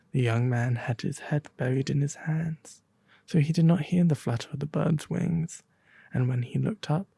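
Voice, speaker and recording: A man narrating a fairy tale in a soft, breathy ASMR storytelling style, gentle and theatrical, close to the microphone. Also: a little high, dry recording. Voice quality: soft, breathy, at times whispery.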